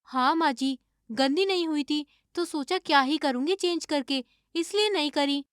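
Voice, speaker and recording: A woman playing an evasive, stubborn young daughter-in-law who acts innocent in an animated moral story. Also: high voice, moderate pace, no echo, studio quality, natural voice. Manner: defensive, feigning innocence.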